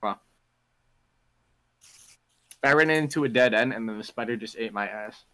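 A man's voice: on the low side, moderate pace, a bit bright. A young man chatting over a headset while gaming, teasing his friend with crude jokes and laughing often. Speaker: streamer. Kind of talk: casual gaming stream banter.

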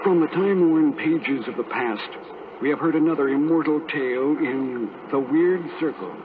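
An actor playing an ominous cave-dwelling host signing off a 1940s radio horror show. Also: male voice, a little high, normal speed, close to an everyday voice. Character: ominous bellkeeper-summoning host of a seaside cave. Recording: very noisy, phone-like old radio recording.

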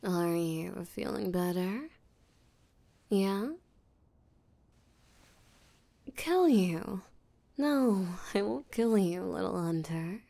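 Slow, creaky, seductive vampire voiced by a woman. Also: mid-range voice, expressive, warm tone. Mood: possessive, amused. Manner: breathy, teasing, flirtatious, slow and deliberate. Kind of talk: intimate roleplay audio drama, spoken to the listener.

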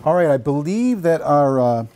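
A man's voice: on the high side, big pitch swings, moderate pace, a crowd in the background. A middle-aged man chatting casually as he co-hosts a cooking demonstration in a large kitchen. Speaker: cooking show co-host. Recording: faint background noise.

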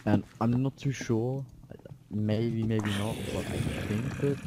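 A man's voice: lowish voice, steady tone, slow. A man chatting casually while playing a game with teammates. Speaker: gamer in a team match. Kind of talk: casual gaming chat. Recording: noisy mic with keyboard clicks.

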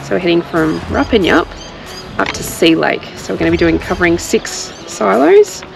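Aussie woman vlogging a road trip outside, kinda gravelly, music playing behind. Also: on the low side, very animated, normal speed, rough recording. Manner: chatty, explains route and facts. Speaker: travel vlogger. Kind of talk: casual travel vlog chat. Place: outdoors on a roadside in rural country.

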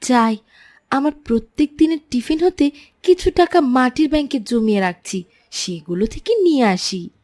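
A woman's voice: high-pitched, animated, moderate pace, a little mellow. A woman voicing a polite, keen young schoolboy in a children's moral cartoon. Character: polite, keen young schoolboy who saves his pocket money.

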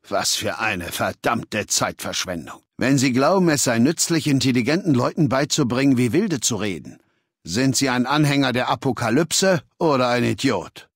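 A learned, ruthless male dictator in a video game. He lectures with articulate condescension at a middling pitch. The recording is dry, with no sense of a room. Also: no room sound. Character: cold, learned warlord dictator of a slaver legion.

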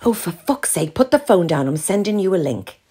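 A woman's voice: fairly low pitch, sing-song, moderate pace, warm tone, breathy edge, exaggerated voice. A woman voicing a blunt, wry middle-aged female best friend who teases, in an audio story. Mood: exasperated.

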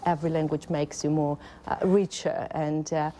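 Low-voiced woman, earnest guest. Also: fairly even intonation, moderate pace, dark tone, slight background noise. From Georgia. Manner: earnest, explanatory. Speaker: journalist guest. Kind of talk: studio interview. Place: television news studio.

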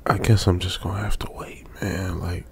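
A man's voice: deep voice, little pitch movement, moderate pace, creaky, a little hiss or hum. An American man playing a stranded young trucker in a radio drama, uneasily muttering to himself in colloquial, repetitive phrases. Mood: resigned. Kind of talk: old-school radio drama monologue, improvised feel.

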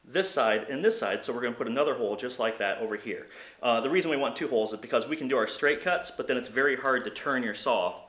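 A man in his thirties talks through a woodworking job step by step, in an ordinary mid-range voice. His voice bounces around the hard walls of a cluttered home workshop. Tool noise and clatter sit clearly behind him, and he is picked up by a camera several metres off. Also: mid-range voice, animated, fast talker, a bit bright, roomy sound. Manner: explains steps plainly while working. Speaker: woodworking channel host. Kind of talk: hands-on workshop tutorial. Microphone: camera on a tripod a few metres away. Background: power tool and workshop noise.

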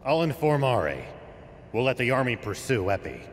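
Actor as a grave old soldier in a cavernous hall. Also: male voice, medium pitch, sing-song, normal speed, slight background noise, lightly acted. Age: older. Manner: grave, commanding, urgent. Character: stern, grave old military veteran man. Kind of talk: dramatic video game voice acting.

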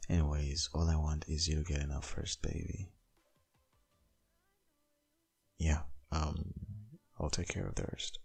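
A man playing a tender, possessive dominant boyfriend in an intimate ASMR roleplay, murmuring in a gravelly, breathy, half-whispered voice. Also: rumbling low, level tone, a little mellow, full character voice. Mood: doting, firm. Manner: slow, murmuring, lingering.

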